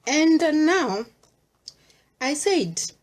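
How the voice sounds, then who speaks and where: A woman's voice: high voice, very animated, drawn-out words. A middle-aged Kenyan woman with a Kenyan accent, speaking earnestly in a personal monologue and mixing English with Swahili. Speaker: vlogger sharing personal views.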